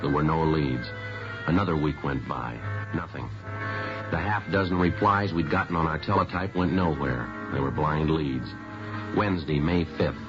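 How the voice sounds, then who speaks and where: An American actor playing a businesslike police detective in an old radio crime drama, clipped and matter-of-fact. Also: male voice, low-pitched, lightly acted. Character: terse, businesslike male police detective sergeant. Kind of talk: 1950s radio crime drama acting and narration. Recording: dull vintage radio recording with music.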